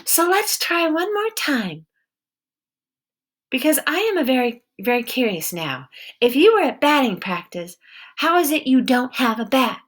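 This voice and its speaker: A woman reading the part of an eccentric, sharp-tongued middle-aged woman with a wooden leg, who probes and mocks as she speaks. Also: high-pitched, sing-song, unhurried, voice pushed up, full character voice. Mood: mocking. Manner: mocking, probing, sharp-tongued.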